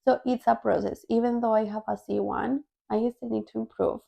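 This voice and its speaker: A young woman with a Colombian Spanish accent shares personal stories in a casual vlog style. Her voice sits a little high yet stays soft and mellow. A strong, gravelly fry runs through her speech. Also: fairly even intonation, fairly quick. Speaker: language-learning vlogger. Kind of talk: casual personal storytelling to viewers.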